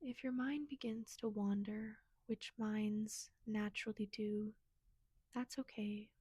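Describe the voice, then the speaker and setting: A woman leading a guided meditation in a gentle, soothing, soft and breathy manner. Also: mid-range voice, steady tone, takes it slowly, no room sound.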